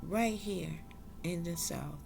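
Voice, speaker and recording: Woman vlogger, low gravelly voice, unhurried and reflective. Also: over music. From South Carolina, US. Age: adult. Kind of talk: slow reflective monologue.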